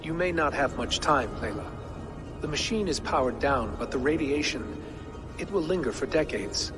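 A man doing a precise, calm calculating being. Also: medium pitch, natural voice. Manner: precise, measured, matter-of-fact. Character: calm, precise man who reads probabilities. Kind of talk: video game cutscene. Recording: game audio with music behind.

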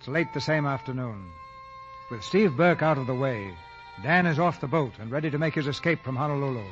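A man's voice: medium pitch, lively intonation, a little fast. A man narrating a radio play from a script in 1940s style. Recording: muffled 1940 radio recording, faint music.

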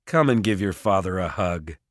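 Man playing a coaxing, low-voiced father. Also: lively intonation, normal speed, no echo, studio quality. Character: falsely loving father, coaxing and apologetic.

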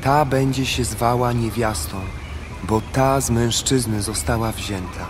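A man's voice: medium pitch, steady tone, unhurried, noisy background, close to an everyday voice. An actor playing the newly created first man, declaring with wonder in an audio Bible drama.

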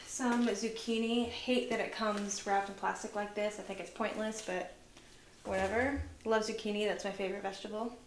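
A woman vlogger chatting casually through a grocery haul, relaxed and full of fillers, sounding tired. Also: medium pitch, moderate pace. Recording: echoey room with noise.

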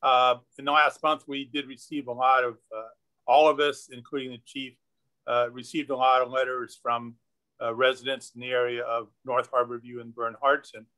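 Brief: male councilmember, bright edgy tone, deliberate pace. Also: medium pitch, level tone, rough recording. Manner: deliberate, pausing with uh. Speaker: city councilmember. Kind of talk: council comments.

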